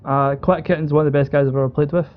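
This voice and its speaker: Young British male, slightly high voice, casual storyteller with enthusiastic informal phrasing, dull lo-fi call audio. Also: fairly even intonation, fast talker. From United Kingdom. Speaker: esports organisation co-owner. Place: online group call.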